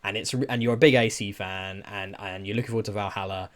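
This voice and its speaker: A man's voice: on the low side, fairly even intonation, brisk. A man co-hosting a podcast in casual conversation, speaking with enthusiasm and strong opinions. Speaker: podcast co-host. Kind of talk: casual film and game chat.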